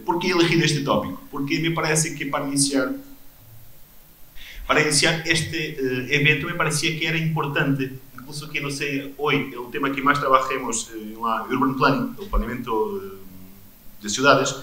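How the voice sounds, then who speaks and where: A man's voice: medium pitch, a bit bright. Hesitant man, echoey hall. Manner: hesitant, many fillers and restarts. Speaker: keynote speaker. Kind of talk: conference talk. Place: lecture hall. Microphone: microphone.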